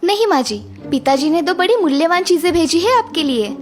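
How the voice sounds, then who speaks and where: A woman's voice: very high-pitched, animated, a little fast, soft background music. A woman voicing a clever young daughter-in-law in a cartoon moral story, speaking with sweet, deferential politeness. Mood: sweetly polite.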